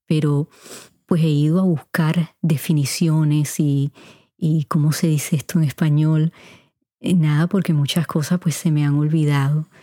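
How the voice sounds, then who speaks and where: A middle-aged woman with a mostly neutral Puerto Rican Spanish accent, hosting a podcast and answering listener questions in an unhurried, chatty way, speaking straight to her listeners. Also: sits low, steady tone, dark tone, no room sound, studio quality. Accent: Puerto Rican Spanish, kept mostly neutral. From Puerto Rico. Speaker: podcast host. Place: home recording room. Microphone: studio mic.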